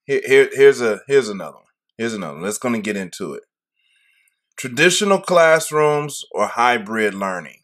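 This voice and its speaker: A man's voice: mid-range voice, sing-song, normal speed, fairly bright tone. A man hosting a podcast interview, upbeat and hyped, often repeating his phrases. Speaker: podcast host. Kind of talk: casual interview chat.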